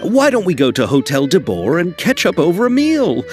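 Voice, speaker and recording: Eager, flustered, high-voiced film director. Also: male voice, huge pitch swings, moderate pace, faint music behind. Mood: delighted, eager. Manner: hesitant, effusive, polite. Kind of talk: video game voice acting.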